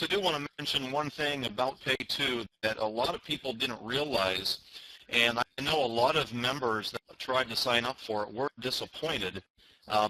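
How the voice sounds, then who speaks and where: A man with a bright, forceful voice at a middle pitch co-hosts a company conference call. He explains things to members in a promotional way, leaning on key words and repeating them for emphasis. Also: no echo, rough recording. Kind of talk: promotional informational talk to members.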